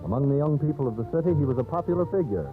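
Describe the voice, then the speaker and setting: A man narrating a scripted 1930s radio drama in a solemn, theatrical, measured storyteller's manner. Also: a little high, expressive. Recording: old scratchy 1930s radio, narrow phone-like band. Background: dramatic background music.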